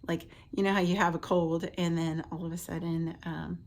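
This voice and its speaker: A woman in her late thirties telling a personal health story casually and haltingly, her voice scratchy from the tail end of a cold. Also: fairly low pitch, flat delivery. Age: late 30s. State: getting over a cold with a lingering cough. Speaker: vlogger, stay-at-home mother.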